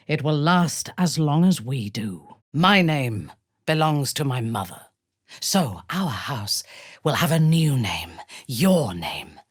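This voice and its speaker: Haughty dark elf warlord woman for a game, low n husky, slow menacing delivery, big swings in pitch. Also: no echo, clean studio sound, exaggerated voice. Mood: intimate, solemn. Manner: imperious, measured, menacing, formal diction. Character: ruthless, haughty drow warrior noblewoman, zealous and vengeful. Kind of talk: video game voice acting, dramatic character lines.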